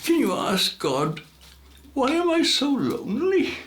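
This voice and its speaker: A man's voice: very high voice, huge pitch swings, unhurried, a bit bright, roomy sound, pitched above the performer's own voice, exaggerated voice. A man voicing a lonely, tearful farmhouse woman in a folk tale, wailing and hopeful. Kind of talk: storybook folk tale character voice.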